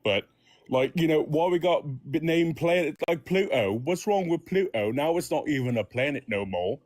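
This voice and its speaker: A man's voice: a little high, lively intonation, moderate pace, darkish tone, no room sound, clean studio sound. A man voicing a folksy, easily offended male Martian fire yeti with a Southern US accent, rambling in a comedy podcast. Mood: grumbling. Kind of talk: comedic improv character.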